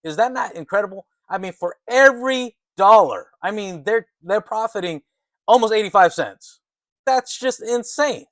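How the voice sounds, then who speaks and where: Higher-pitched man giving emphatic market commentary. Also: animated, moderate pace, fairly bright tone, dry recording, studio quality. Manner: emphatic, conversational, often adds tag questions like "right" and "okay". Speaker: host of a stock market commentary channel. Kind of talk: excited informal market commentary, partly reading articles aloud.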